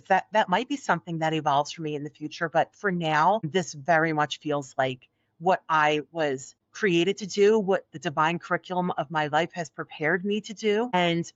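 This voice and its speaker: A woman's voice: low-pitched, no echo. Soothing Philly-accented woman, lo-fi sound. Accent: Philadelphia. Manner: earnest, encouraging. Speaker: guest. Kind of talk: podcast interview. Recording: dull, dry lo-fi audio.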